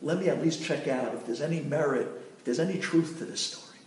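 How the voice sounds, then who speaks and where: A man voicing a grieving widow who speaks softly and hesitantly, stammering now and then. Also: fairly high pitch, a little fast, a little hiss or hum, lightly acted. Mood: earnest. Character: grieving widow, anxious and earnest. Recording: echoey room, distant mic.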